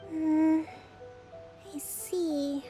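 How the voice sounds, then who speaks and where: A gentle young priestess leader. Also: female voice, sits high, level tone, drawn-out words, over music. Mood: calm. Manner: soft, patient, composed. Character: young female island priestess leader, calm and gracious. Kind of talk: video game voice acting.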